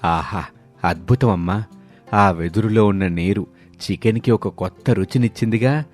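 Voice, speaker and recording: A man voicing an expressive village father in his sixties in a story. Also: fairly low pitch, normal speed, soft background music, voice pushed down, close to an everyday voice. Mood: delighted. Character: worried sixty-year-old village father, plain-spoken and expressive.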